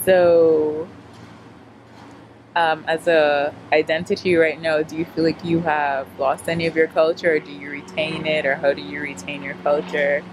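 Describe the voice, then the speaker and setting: A woman's voice: sits low, flat delivery, normal speed, noisy background. A woman hosting a casual interview, asking questions in a playful, giggly way. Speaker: interviewer host.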